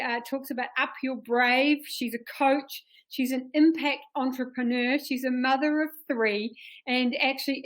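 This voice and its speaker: A woman's voice: high-pitched, low-quality recording. A woman hosting an interview show, introducing her guest with enthusiasm. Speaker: host.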